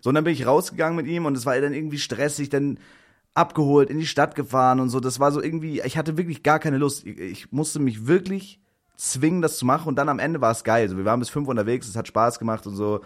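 A man's voice: medium pitch, fast. A man, co-hosting a podcast, joking crudely with a friend and then rambling about his life. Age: 20s. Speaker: podcast co-host. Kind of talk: casual banter between friends.

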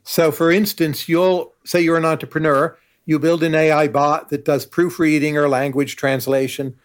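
A man's voice: on the high side, little pitch movement. Confident man in his sixties. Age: 60s. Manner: measured, confident, declarative. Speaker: guest, professor. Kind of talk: conversational interview.

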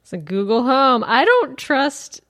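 A woman's voice: high-pitched, sing-song, a little slow, fairly bright tone, dry recording, studio quality. A woman hosting a podcast with casual, playful and wry banter. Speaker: podcast host.

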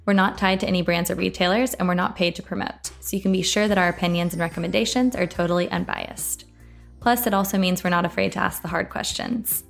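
A woman's voice: lowish voice, fairly even intonation, fast talker, vocal fry, soft background music. An American woman hosting an interview show, friendly and upbeat as she introduces and questions her guest. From United States. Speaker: podcast host. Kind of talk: interview host chat.

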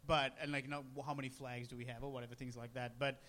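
A young man from Sri Lanka, co-hosting a comedic chat and breaking into loud joking outbursts. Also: medium pitch, little pitch movement, quick pace. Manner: jokey, bursts into loud laughing exclamations. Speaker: co-host.

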